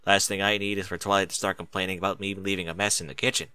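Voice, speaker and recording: Young boy dragon, storybook, casual, mildly grumbly, bright tone male reader. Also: low-pitched, flat delivery, rapid-fire, clean tone, no echo. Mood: grumbling. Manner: casual, shrugging.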